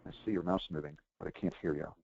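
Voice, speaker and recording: A man with a very low voice leads a conference call. He speaks haltingly and informally. The line is dull and dry, with little room sound. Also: fairly even intonation, vocal fry, a little mellow, husky, no echo. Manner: hesitant, halting.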